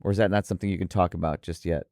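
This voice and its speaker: A man's voice: low-pitched, fairly even intonation, rapid-fire, warm tone, dry recording, studio quality. A man hosting a casual interview, thinking aloud with frequent restarts and hedges.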